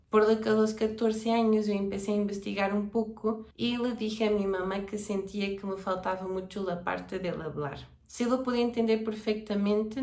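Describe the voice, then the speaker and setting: A woman in her twenties from Portugal, a native Portuguese speaker with a Portuguese accent, talking calmly and steadily to her vlog viewers. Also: mid-range voice. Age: 20s. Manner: calm, steady, explanatory. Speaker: language vlogger. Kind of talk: casual personal vlog talk. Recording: roomy echo.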